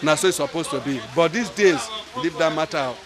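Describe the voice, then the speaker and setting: A man's voice: fairly high pitch, a little fast, crowd noise. An older man with a Nigerian accent, answering a street interview in a rambling way with pidgin phrases, into a handheld mic outdoors. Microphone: handheld mic held by interviewer.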